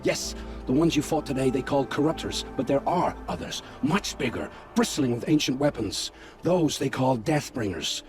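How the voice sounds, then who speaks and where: Game role: guilt-ridden male scout male actor, mid-pitched, haunted dramatic delivery over music. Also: animated, moderate pace, lightly acted. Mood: explaining, uneasy. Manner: grave, hushed, haunted storytelling with poetic phrasing. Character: guilt-ridden male scavenger scout, forced informant, begging for family. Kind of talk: dramatic video game acting. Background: background music.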